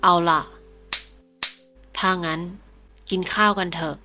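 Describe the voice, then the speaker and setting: A woman voicing a caring but firm female teacher, reading comic dialogue aloud in a gentle, instructive way. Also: on the low side, slow pace. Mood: instructing. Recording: echoey, long reverb, faint music.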